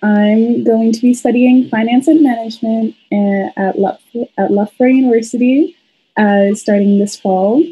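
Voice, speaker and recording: A woman's voice: a little high, steady tone, normal speed, warm tone, slightly rough, low-quality recording. A woman in her late teens from Sweden, co-hosting a podcast with a casual introduction. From Sweden, some years in Canada. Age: around 18-20. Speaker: podcast co-host.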